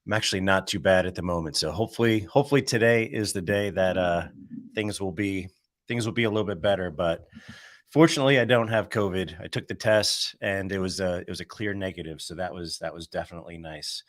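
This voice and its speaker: A man with a low-pitched voice reads a morning devotional while he is getting over a cold. He talks in a relaxed, conversational way and muses aloud between the passages. His voice sounds close and dry, with no room echo. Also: brisk. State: under the weather with a cold. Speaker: host reading a daily devotional. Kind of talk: devotional reading with casual commentary.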